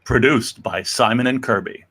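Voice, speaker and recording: A man's voice: medium pitch, normal speed, fairly bright tone, breathy edge. A man playing a solemn, earnest 1950s comic-book editor introducing a story in dramatic scripted narration.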